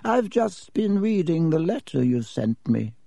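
A man voicing a frail, feeble old male wizard headmaster who talks slowly and kindly in a thin, quavering voice. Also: a little high, big pitch swings, creaky, warm tone, no room sound, voice pushed up, heavily acted. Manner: slow, fussy and kindly. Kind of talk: audiobook character voice.

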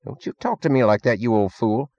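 A man's voice: mid-range voice, lively intonation, brisk, a little mellow, highs cut off, voice pushed up, natural voice. A man voicing a drunken, swaggering thug boss in an audiobook, belligerent and sneering with slangy bluster. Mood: drunken defiant bluster. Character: drunken swaggering thug boss, loud, defiant, secretly scared. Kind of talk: audiobook character acting.

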